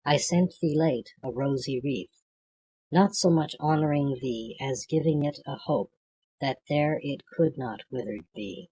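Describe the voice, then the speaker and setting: An audiobook reader reciting poetry from a script in a measured, declamatory way, with a husky, gravelly voice. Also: mid-range voice, dark tone, rough recording.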